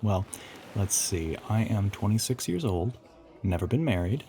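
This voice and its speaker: A man's voice: on the low side, animated, measured pace, dark tone. A man playing an ancient, teasing vampire who poses as a human boyfriend in an audio roleplay, drawling and often slipping toward a whisper. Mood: charming, polite.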